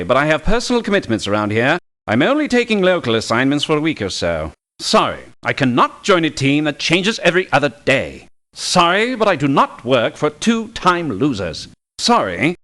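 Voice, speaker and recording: An aloof, courteous mercenary from a video game. His voice is on the high side and rises and falls dramatically, keeping a formal, precise politeness. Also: fairly bright tone. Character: courteous, apologetic but aloof male mercenary. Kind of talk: video game character voice lines.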